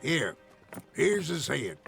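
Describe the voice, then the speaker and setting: An actor playing a gruff, sardonic American frontier bounty hunter with a gravelly voice and a terse, grumbling delivery. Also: male voice, a little high, big pitch swings, takes it slowly, a bit bright, faint music behind, full character voice. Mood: sullen, irritated. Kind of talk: video game cutscene acting.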